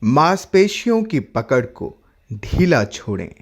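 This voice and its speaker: A man working as a yoga instructor, leading a guided relaxation in short instructive phrases with long pauses between them. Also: on the high side, very animated.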